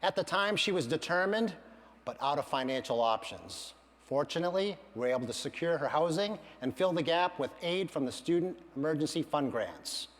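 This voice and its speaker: A middle-aged man, a university financial aid administrator, giving an earnest, measured prepared speech at a campaign event. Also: on the high side, animated, long reverb tail. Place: large event hall.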